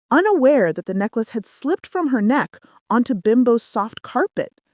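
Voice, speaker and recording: A woman narrating a scripted folktale as a storyteller, with dramatic, measured phrasing. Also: fairly high pitch, a little mellow, dry recording, clean studio sound.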